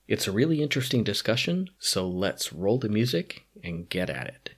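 Man, podcast host, lowish voice, upbeat. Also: very animated, moderate pace. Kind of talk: podcast interview.

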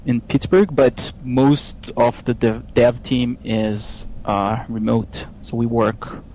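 A man from Israel with an Israeli accent, speaking English as a guest in a technical interview, with frequent pauses, fillers and restarts. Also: mid-range voice, fairly even intonation, a little mellow, slight background noise, rough recording. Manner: hesitant, many fillers and restarts. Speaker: software engineer guest.

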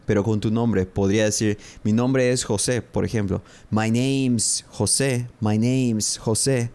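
A man, hosting a podcast English lesson and repeating phrases slowly and carefully for learners. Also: medium pitch, a little mellow. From Paraguay. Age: 30s. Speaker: English teacher, podcast host.

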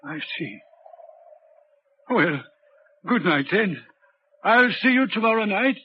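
Casting: lonely, courteous signalman, highish voice, grave and halting, vintage radio drama with muffled sound. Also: big pitch swings, exaggerated voice. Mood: uneasy, probing. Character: lonely, haunted railway signalman, brooding and courteous. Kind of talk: 1940s radio drama acting. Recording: dull, noisy 1940s radio recording.

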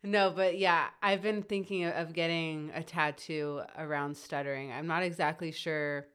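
A woman's voice: sits low, fairly even intonation, normal speed, fairly bright tone, smooth. A woman who stutters, chatting casually; her first language was Hebrew. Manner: stutters, light and laughing. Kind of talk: casual group chat.

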